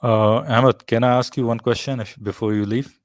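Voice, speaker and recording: A man hosting a live debate stream, speaking in a measured way, often adding "right?" and "basically". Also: medium pitch, steady tone, dry recording. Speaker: host.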